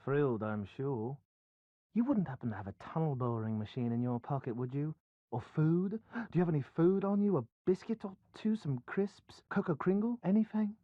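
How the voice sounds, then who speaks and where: A man's voice: on the high side, animated, moderate pace, dry recording, narrow, tinny audio. A man playing a stranded, whiny young bridegroom in a video game, with dry, grumbling sarcasm. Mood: sarcastic, famished. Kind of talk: animated video game acting. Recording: band-limited game audio with music.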